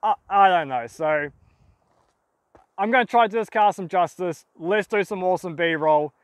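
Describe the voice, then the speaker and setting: A young Australian man hosting an excited outdoor vlog, exclaiming about a car wreck he found in open bushland, speaking to a camera at a short distance. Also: high-pitched, normal speed, dry recording. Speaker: car wreck hunter, channel host.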